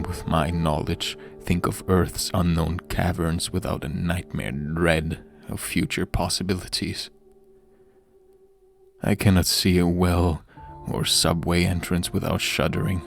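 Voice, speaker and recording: Deep, gravelly man narrating horror. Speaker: audiobook narrator. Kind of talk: dramatic horror story narration. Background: background music.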